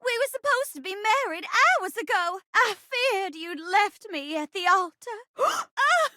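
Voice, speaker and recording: Very high-pitched, melodramatic young bride. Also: female voice, sing-song, a little slow, bright, forceful tone, no echo, clean studio sound, exaggerated voice. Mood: lovestruck, yearning. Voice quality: sweet, girlish, very high. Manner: grand stage declamation, breaking into bashful chatty asides. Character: melodramatic, lovestruck theatrical young bride, sweet and giddy. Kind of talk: exaggerated romantic stage-play acting in a video game.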